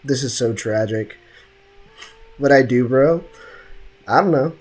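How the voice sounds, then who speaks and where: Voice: mid-range voice, slow pace, darkish tone, breathy edge. Casual, teasing game streamer, mid-range voice. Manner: teasing, slangy banter with chat. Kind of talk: casual live-stream chat, reacting to a game.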